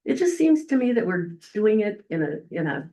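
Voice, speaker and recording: An older woman from the Midwest with a loud voice, giving a rambling, folksy public comment at a county meeting. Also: medium pitch, very animated, moderate pace. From Eldora, Iowa.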